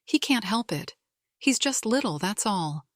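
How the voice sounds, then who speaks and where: A bossy young girl from a children's storybook, acted by a woman, grumbles and exclaims her way through each line. She is an exasperated big sister with a caring streak, unhurried and closely, dryly recorded. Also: medium pitch, very animated, dry recording, clean studio sound, pitched above the performer's own voice. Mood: protective.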